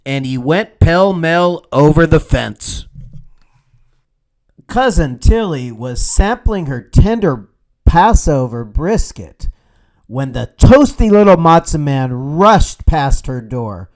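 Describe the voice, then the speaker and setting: A man narrating a children's storybook aloud in a deliberate, expressive way. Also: on the high side.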